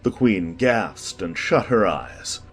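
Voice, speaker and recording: A woman's voice: a bass voice, expressive, slow, faint music behind. A woman narrator reading a scripted children's storybook as part of a dramatized audiobook.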